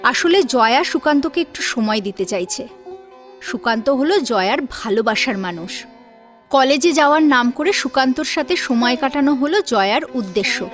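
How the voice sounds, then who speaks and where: A woman reading a scripted story as its narrator. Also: sits high.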